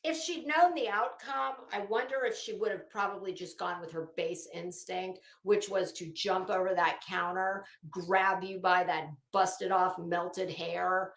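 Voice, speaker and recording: A woman's voice: lowish voice, fairly even intonation, unhurried, hardly any pauses, fairly bright tone. A woman hosting a casual livestream commentary, with a sarcastic, mocking, profane way of talking. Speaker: livestream host. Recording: echoey untreated room.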